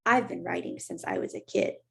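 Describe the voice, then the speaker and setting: A woman reading aloud and voicing a restless, boastful young boy who pesters with quick questions. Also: fairly low pitch, very animated, vocal fry, full character voice. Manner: teasing, pestering, rapid-fire questions.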